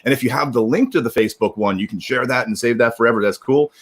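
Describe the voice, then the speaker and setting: A man's voice: medium pitch, fast. A man hosting a casual livestream, talking informally and rambling through announcements with some repetition. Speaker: livestream host. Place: remote video livestream.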